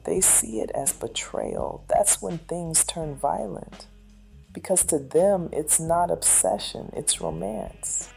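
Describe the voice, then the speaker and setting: An actress playing a measured criminal profiler in an audio drama, speaking in an analytical, matter-of-fact way. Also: female voice, deep voice, vocal fry, mellow, faint music behind, heavily acted, rough recording. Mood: clinical, grave.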